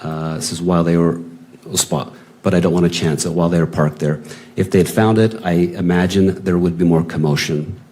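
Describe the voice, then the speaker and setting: Very deep-voiced man reading aloud. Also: steady tone, a little fast, mellow, a little hiss or hum. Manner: plain, unacted reading with attributions. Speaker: witness reading text messages aloud. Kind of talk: reading written messages aloud, hearing testimony.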